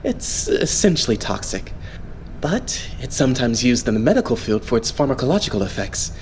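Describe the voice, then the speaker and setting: A man voicing a polite, composed young idol leader in an anime fandub, explaining things in a measured way. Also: mid-range voice, sing-song, a little mellow, slight background noise. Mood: calm explaining. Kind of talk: anime game fandub acting.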